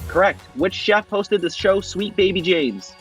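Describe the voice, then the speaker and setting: A man with a high voice runs a quiz game, reading each question crisply and calling answers correct or not. His delivery is brisk and formal, with light music playing faintly underneath. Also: lively intonation, fairly bright tone. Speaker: quiz show host.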